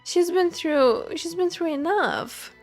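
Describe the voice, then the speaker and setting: A woman, a non-native English speaker, giving casual, expressive live game commentary and chatting playfully with viewers. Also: very high-pitched, slow pace, soft background music. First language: not English. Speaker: game streamer.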